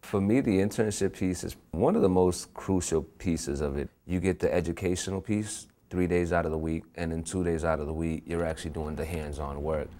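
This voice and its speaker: A man from the program staff describing it in a measured, explanatory way. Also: low-pitched, mellow.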